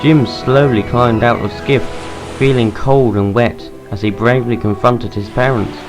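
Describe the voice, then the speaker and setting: Man narrating a story, medium pitch, music behind. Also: steady tone, measured pace, low-quality recording. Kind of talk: scripted storybook narration for amateur audio drama.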